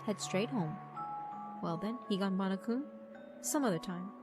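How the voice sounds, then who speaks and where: A woman's voice: mid-range voice, over music, natural voice. A woman voicing a polite, sinister old male headmaster spirit in a horror visual novel, speaking formally. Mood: genteel menace. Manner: formal, polite, theatrical slow chuckle.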